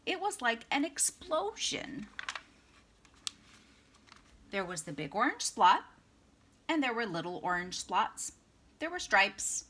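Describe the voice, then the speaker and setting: A woman reading a children's picture book aloud as a storytime reader, with expressive, dramatic emphasis. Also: medium pitch, slightly rough.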